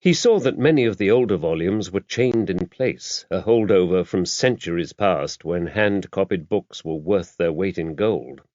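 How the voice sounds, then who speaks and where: Low male narrator reading a mystery audiobook, really animated, slightly dull sound. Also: moderate pace, few pauses, no room sound. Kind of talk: scripted narration of a mystery novel.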